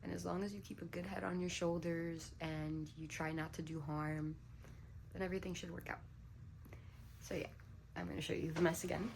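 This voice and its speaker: A young woman, a little hoarse, musing aloud in a casual vlog and clearing her throat often. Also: fairly low pitch, flat delivery, normal speed, darkish tone, a little hiss or hum. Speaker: vlogger. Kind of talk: casual reflective vlog talk.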